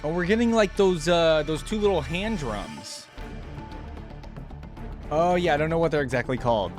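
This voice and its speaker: A man's voice: a little high, animated, normal speed, darkish tone. A man hosting a game reaction video, giving casual live commentary and musing aloud in an easygoing way. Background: game music.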